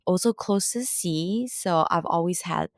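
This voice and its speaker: Calm low-voiced woman, smooth and clear. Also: normal speed, dry recording, studio quality. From Japan. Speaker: social enterprise founder, guest. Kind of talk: interview answers.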